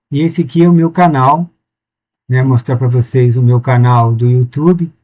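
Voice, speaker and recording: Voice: mid-range voice, dark tone. Voz brasileira explicando, tom didático. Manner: informal, with frequent restarts and "tá?", "ok?" checks. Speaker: teacher presenting a course. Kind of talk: online class, explaining to teachers and mothers.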